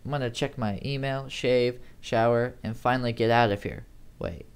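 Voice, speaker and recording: Amateur horror narrator, medium-pitched voice, dramatic first-person storytelling. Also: fairly even intonation, moderate pace, clear, resonant tone.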